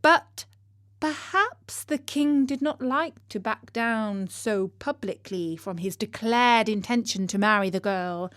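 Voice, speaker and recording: Female storyteller for children's tales: very slow, measured, expressive delivery, dry close sound. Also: fairly high pitch, dry recording. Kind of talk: scripted children's story narration.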